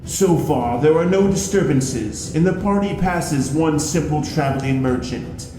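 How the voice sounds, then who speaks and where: A man's voice: fairly high pitch, unhurried. A man acting as game master, giving scripted narration of a fantasy adventure. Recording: roomy echo, music behind. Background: music.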